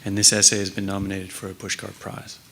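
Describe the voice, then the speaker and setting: A man's voice: deep voice, monotone, quick pace, creaky, bright, forceful tone, a little hiss or hum. A man in his forties reads a literary essay aloud on stage at a stand microphone. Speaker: literary event reader. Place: on stage in a theater.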